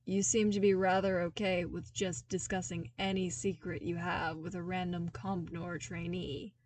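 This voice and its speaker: A woman's voice: lowish voice, flat delivery, moderate pace, darkish tone, far from the mic, lightly acted. A woman voicing a blunt, wry young male trainee, grudgingly playful, in an audio story. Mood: teasing grumble. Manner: blunt, dry, grumbling.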